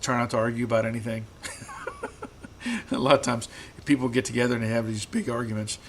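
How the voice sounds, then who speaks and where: Guy in his garage on a live stream, mid-low voice, voice getting rough, stumbles and repeats words, faint noise behind. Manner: relaxed, halting, repeats words often. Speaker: host. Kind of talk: live-stream chat answering viewer comments.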